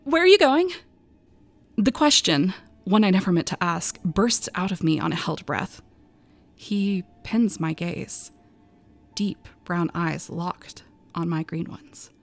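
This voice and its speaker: A woman performing dramatic first-person narration in an audio drama, expressive and breathy at tense moments, as a frightened young woman telling an eerie story. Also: lowish voice, slow pace, faint music behind. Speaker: voice actor narrating a short story.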